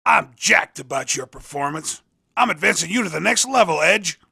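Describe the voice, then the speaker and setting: A cocky hustler from a racing video game, recruiting with slangy, coaxing patter. His voice is raspy and a little high, and it jumps around in pitch as he sells. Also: expressive, normal speed, pushed and bright, exaggerated voice. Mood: cocky, encouraging. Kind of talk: video game character dialogue.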